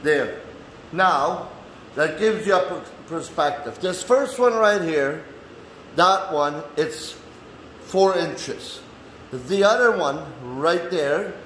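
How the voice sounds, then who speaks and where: A man from Canada chatting casually to camera as he shows his workspace, in a halting, folksy way with non-standard phrasing. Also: high voice, fairly bright tone. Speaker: vlogger and handyman host. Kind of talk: casual walk-through chat to camera. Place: indoors in a man cave workshop. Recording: echoey room with light background noise.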